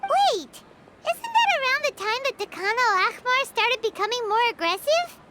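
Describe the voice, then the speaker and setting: A woman voicing a tiny, childlike fairy companion in a video game, caring and excitable. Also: very high voice, moderate pace, background noise. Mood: alarmed. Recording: loud game music underneath. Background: loud music.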